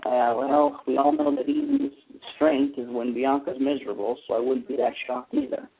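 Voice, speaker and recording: A man's voice: mid-range voice, very animated, normal speed, creaky, slight background noise. A man hosting a casual radio talk show about TV, chatty and opinionated as he explains his views. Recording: tinny phone-like internet radio line.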